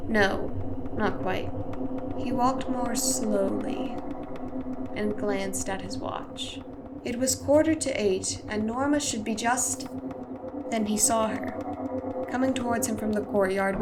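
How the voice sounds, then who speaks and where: A woman's voice: fairly high pitch, noisy background. A woman reading scripted storytelling narration for a radio play.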